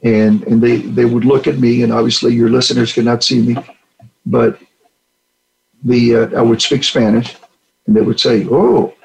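Gravelly older man telling stories. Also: medium pitch, normal speed, poor audio. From Puerto Rico. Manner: earnest, animated when acting out dialogue. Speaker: retired general, guest. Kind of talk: storytelling interview answers.

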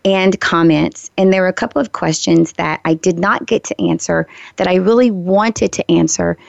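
Woman radio host, hoarse and gravelly, rambling friendly chat, close dry lo-fi sound. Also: fairly low pitch, steady tone, normal speed, dry recording. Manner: chatty, warm asides, frequent ums and restarts. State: hoarse, recovering from a lost voice. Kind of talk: casual solo talk to listeners. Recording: slightly dull lo-fi radio recording.

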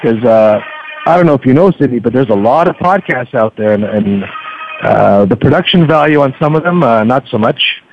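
A man's voice: medium pitch, lively intonation, normal speed, soft background music. A man speaking as an interview guest in a chatty, hesitant way full of ums and filler phrases, on lo-fi radio audio. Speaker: podcast host being interviewed as a guest. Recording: dull lo-fi radio sound.

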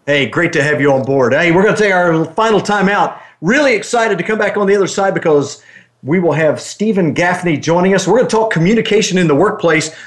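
Male radio host, upbeat and chatty, voice pitched on the high side. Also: lively intonation, a little fast. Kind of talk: upbeat talk radio hosting.

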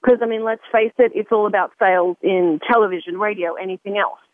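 A woman's voice: medium pitch, quick pace, no room sound. A woman hosting a radio talk show, chatty and often restarting her sentences. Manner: chatty, self-interrupting, repeats words. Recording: narrow phone-like line.

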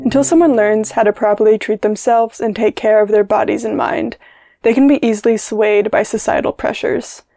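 A woman's voice: fairly high pitch, fairly even intonation, normal speed, gravelly, warm tone, no echo, soft background music. A young woman from Alabama reading a scripted testimonial as a reviewer. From Alabama, United States.

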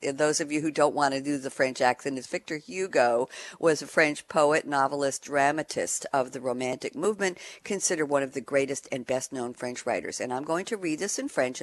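A radio show host introducing a panel discussion, upbeat and clearly spoken, asking rhetorical questions. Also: medium pitch, a bit bright.

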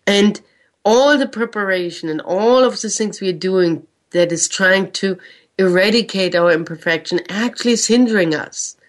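A woman with a German accent hosting a spiritual radio show, speaking in a gentle, earnest way with slow, drawn-out phrases. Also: medium pitch. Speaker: spiritual radio host and channel. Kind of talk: reflective spiritual talk.

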